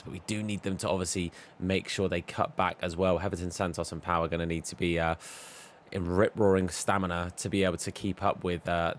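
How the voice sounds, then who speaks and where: A man with a hoarse voice, losing it, giving chatty, upbeat commentary over a football video game and talking straight to his viewers. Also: low voice, slight background noise. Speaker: gaming YouTuber. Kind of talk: casual gameplay commentary.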